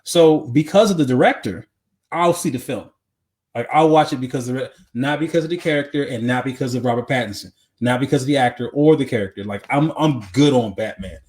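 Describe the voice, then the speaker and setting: A man with a mid-pitched voice chats casually as a podcast co-host. He feels his way through opinions haltingly, repeating himself and often checking that the listener follows. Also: a little mellow. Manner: halting, repetitive, peppered with "you know what I'm saying". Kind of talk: casual chat about movies.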